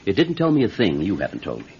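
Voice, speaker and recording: A skeptical American airline manager in an old radio drama. He is measured and businesslike, with a very low, husky male voice, on a muffled vintage recording. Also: huge pitch swings, darkish tone, exaggerated voice. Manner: matter-of-fact, questioning, businesslike. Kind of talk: classic radio drama acting.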